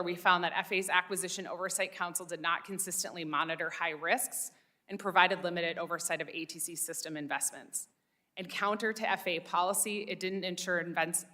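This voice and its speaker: A woman's voice: fairly low pitch, sharp tone. A woman reading formal prepared testimony as an expert witness.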